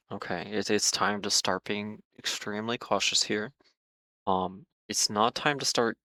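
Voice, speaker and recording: A man hosting market commentary for viewers, speaking in a measured, cautious way with frequent ums and repeated words while losing his voice. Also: on the low side, fairly bright tone, dry recording, low-quality recording. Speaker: market analysis host.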